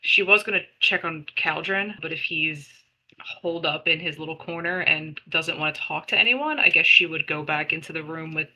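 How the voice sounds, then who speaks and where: A woman with a very sharp, bright, slightly low voice plays a tabletop game, chatting casually about her character. Faint noise sits behind her. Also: moderate pace, rough recording.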